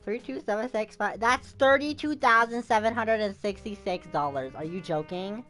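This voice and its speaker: A woman's voice: medium pitch, normal speed, fairly bright tone, faint music behind. A woman giving casual gameplay commentary as a game streamer, rambling and thinking aloud in informal, slangy speech.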